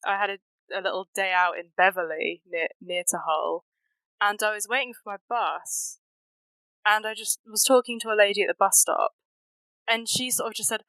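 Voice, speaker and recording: A young woman in her twenties from Norfolk, England, with a Norfolk accent, telling a story in a lively, giggly way. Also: medium pitch, moderate pace, clear, resonant tone, dry recording, low-quality recording. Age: 20s. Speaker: podcast guest, former student. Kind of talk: casual storytelling.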